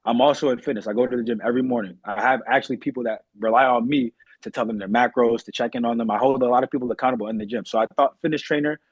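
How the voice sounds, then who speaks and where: A man's voice: mid-range voice, fairly even intonation, racing, hardly any pauses, husky, no echo, highs cut off. A young man from Massachusetts talking earnestly and politely as a guest in a casual interview. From Massachusetts, United States. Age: young adult.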